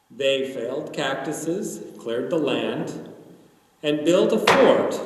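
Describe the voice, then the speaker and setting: A man reads a family story aloud in a dramatic, animated style. His pacing is slow and measured. The voice comes from some distance in a reverberant hall. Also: medium pitch, very noisy. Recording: echoey hall, distant microphone.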